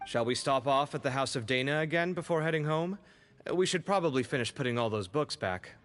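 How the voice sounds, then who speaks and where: Man as young companion, medium pitch, friendly, polite, easygoing, game character, light music behind. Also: animated, fairly quick, a bit bright, natural voice. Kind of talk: game voice acting.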